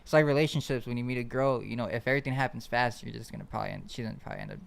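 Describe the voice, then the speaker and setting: Fast-talking young man, clear voice. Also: medium pitch. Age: 20s. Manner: slangy, fast, repeats "like" often. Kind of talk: casual podcast chat.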